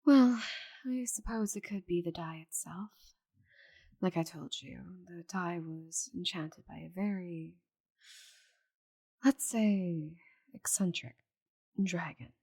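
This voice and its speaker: Woman voicing a low, husky, stubborn dragoness. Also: dark tone. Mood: dry, resigned. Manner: proud, dry, halting when flustered. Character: giant stubborn female dragon, cursed to shrink, grudgingly affectionate. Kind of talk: intimate ASMR roleplay monologue.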